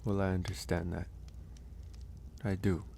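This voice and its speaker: Gentle male werewolf acted by a man, ASMR roleplay. Very low voice, thoughtful and reassuring, noticeable background noise. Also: level tone, a little slow, creaky. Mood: sincere, reassuring. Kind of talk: intimate ASMR audio roleplay.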